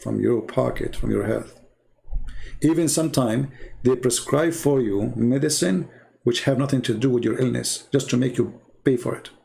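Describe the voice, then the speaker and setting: Gravelly man, rambling and scornful. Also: mid-range voice, moderate pace, dark tone. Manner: rambling, scornful, repeats himself. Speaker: online commentator. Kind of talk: casual monologue.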